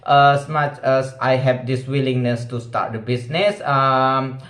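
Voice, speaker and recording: Young indonesian guy practicing english, slow and hesitant, lots of ums, echoey room. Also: medium pitch, a bit bright. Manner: hesitant, many fillers and restarts, simple grammar. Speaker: vlogger. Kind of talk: casual English speaking practice.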